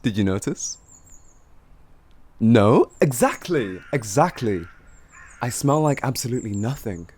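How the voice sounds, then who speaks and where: A man playing a cocky, flirtatious highwayman who teases and gloats in an improvised fantasy roleplay spoken to the listener. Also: big pitch swings, a little slow, pauses often, darkish tone, slightly rough. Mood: chatty, amused. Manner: teasing, gloating, chuckling, improvised hesitations.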